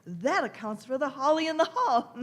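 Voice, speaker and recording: Lively, grand old lady time traveller, acted by a woman. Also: sits high, normal speed. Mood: amused, lofty. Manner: chuckling, old-fashioned grand phrasing with repeated words. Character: eccentric old lady time traveller, merry, lofty, evasive. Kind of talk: live radio-play acting.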